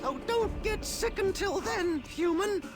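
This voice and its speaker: Casting: little female creature healer, caring; extremely high, unhurried voice, game cutscene with loud music. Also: expressive. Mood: gentle, reassuring. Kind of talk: video game cutscene acting.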